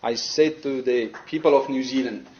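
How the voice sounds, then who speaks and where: A man from Afghanistan with an Afghan accent giving a courtroom statement, earnest and halting but firm and resolute. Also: medium pitch, fairly even intonation, dull audio, heavy background noise. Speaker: attack survivor giving a victim statement. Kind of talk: courtroom statement, partly spontaneous.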